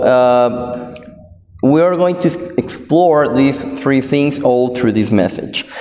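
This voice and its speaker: A man with a Guatemalan Spanish accent delivers a sermon in English, his second language. He talks slowly and carefully, stopping often with fillers and restarting his phrases. His voice rings out with a long reverb in a roomy hall. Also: medium pitch, darkish tone. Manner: halting, many fillers and restarts. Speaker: preacher.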